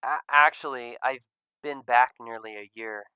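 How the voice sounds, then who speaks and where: A man voicing an awkward, guilt-ridden young male furry in a visual novel, speaking in a hesitant, halting way. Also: mid-range voice, pushed and bright, smooth, dry recording. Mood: nervous.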